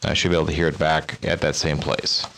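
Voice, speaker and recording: A man presenting amateur radio software in a casual demo style, sometimes trading radio contacts. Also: very deep voice, quick pace, vocal fry. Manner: spells call signs in phonetic alphabet. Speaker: presenter demonstrating his radio logging software. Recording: hissy recording with steady noise.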